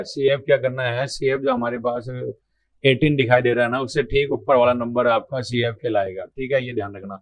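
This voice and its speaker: A man, slightly hoarse, teaching exam math problems step by step, repeating numbers as he works and asking himself questions. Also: mid-range voice, no echo. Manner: explains aloud while writing, repeats numbers, asks and answers his own questions. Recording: dull lo-fi sound.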